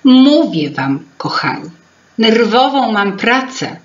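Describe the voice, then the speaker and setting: A woman's voice: a little high, very animated, takes it slowly, muffled recording, pitched above the performer's own voice. A woman voicing a proud, bustling female fairy-tale sprite who keeps people's memories. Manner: self-important, bustling, musing.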